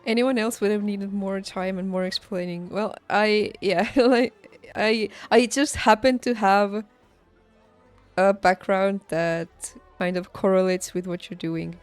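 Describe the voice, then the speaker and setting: A woman game streamer chatting casually, thinking aloud haltingly and laughing easily, as if working out each thought while she talks. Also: mid-range voice, steady tone, dry recording. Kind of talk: casual livestream chat over gameplay. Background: game music.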